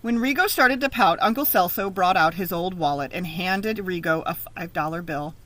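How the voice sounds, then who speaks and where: A woman reading a children's story aloud in an expressive, teacherly narrating style. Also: lowish voice, moderate pace.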